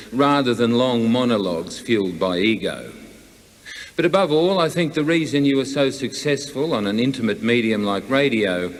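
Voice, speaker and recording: An Australian man delivering a prepared, plain-spoken eulogy, addressing a late friend and colleague in a measured, reflective way. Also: medium pitch. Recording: long hall reverb.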